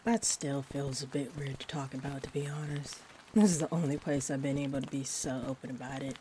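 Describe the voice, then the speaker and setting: A woman playing a sweet, rambling young-looking vampire bartender in an intimate roleplay audio, speaking gently and hesitantly with whimsical tangents. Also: a bass voice, a little fast, a little hiss or hum, poor audio. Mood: chatty, musing. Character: sweet rambling friendly female vampire bartender, looks twenty-four. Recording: steady rain ambience behind the voice. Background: rain.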